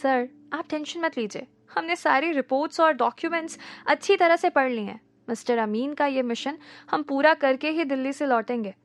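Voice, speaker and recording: A woman's voice: high-pitched, moderate pace, dry recording. A woman voicing a young female office employee who reassures her boss but shrieks when frightened. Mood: reassuring. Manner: reassuring, then shrieking in fear.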